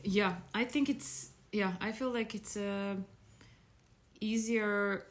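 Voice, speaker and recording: A woman's voice: medium pitch, steady tone, takes it slowly. A woman in her thirties from Croatia, a podcast guest speaking in a casual, hesitant way with many fillers and restarts. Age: 30s. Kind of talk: casual interview chat.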